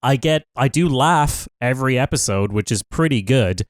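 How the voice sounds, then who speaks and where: Smooth-voiced man chatting TV reviews. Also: mid-range voice, lively intonation, moderate pace, no room sound, clean studio sound. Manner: chatty, thinks aloud with fillers. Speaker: podcast co-host. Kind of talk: casual TV review chat.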